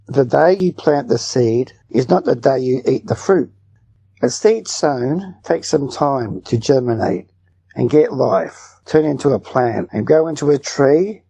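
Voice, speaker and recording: A man with a very dark, soft-edged voice and a husky grain reads prepared English passages. The recording is dry and a little dull. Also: medium pitch, no echo. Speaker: reader of English lesson passages. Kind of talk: scripted narration, read aloud.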